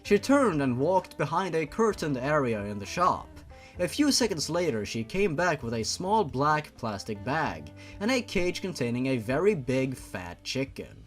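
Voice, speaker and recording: A man's voice: a little high, very animated, unhurried, faint music behind. A man hosting a comic fan-fiction reading, narrating theatrically with mocking asides.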